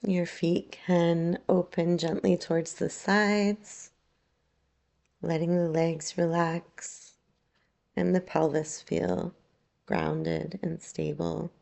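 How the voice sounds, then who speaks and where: A woman leads a guided relaxation as a yoga teacher. Her voice is low and very slow, with a soft, mellow tone. She speaks gently and leaves long pauses between phrases. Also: little pitch movement, vocal fry. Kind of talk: guided meditation, slow soothing instruction.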